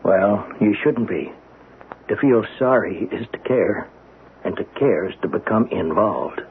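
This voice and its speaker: A man's voice: lowish voice, lively intonation, a little slow. An actor in an old-time radio drama playing a brusque, weary male surgeon who speaks with curt, patronizing authority. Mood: cold, weary. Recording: tinny, noisy old radio broadcast.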